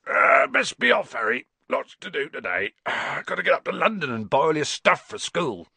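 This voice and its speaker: Brief: good-natured giant, gruff and homely, swinging tone, a man reading him. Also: on the high side, wildly swooping pitch, moderate pace, sharp tone, no room sound, voice pushed up, heavily acted.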